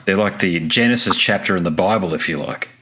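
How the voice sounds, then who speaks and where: A man with an Australian accent hosting an interview, chatting with enthusiasm and slipping in quick asides. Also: sits low, sharp tone. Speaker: podcast host, interviewer. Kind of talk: casual interview chat. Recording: faint background noise.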